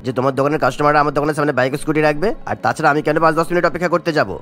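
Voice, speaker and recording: A man's voice: fairly high pitch, steady tone, very fast, fairly bright tone, close to an everyday voice. A man voicing a spiteful, blustering grocery shopkeeper in a cartoon, scolding and argumentative. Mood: angry, bossy. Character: spiteful, grumbling male grocery shopkeeper, bullying rival.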